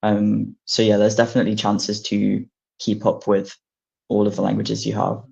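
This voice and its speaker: Gravelly, monotone male student. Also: lowish voice, moderate pace. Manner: soft-spoken and filler-heavy. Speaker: master's student in translation. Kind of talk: student panel conversation. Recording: dull lo-fi audio.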